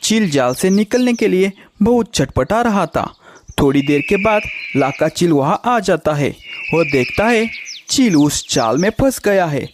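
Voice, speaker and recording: A man tells a children's animal story from a script. His voice is on the high side for a male narrator. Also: expressive, measured pace, background noise.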